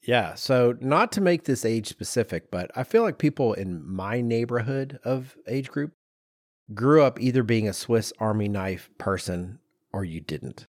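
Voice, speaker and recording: A man's voice: mid-range voice, expressive, a little slow, a little mellow, dry recording, studio quality. A middle-aged man co-hosting a podcast, telling researched stories informally with personal asides. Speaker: podcast co-host. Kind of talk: conversational storytelling from research.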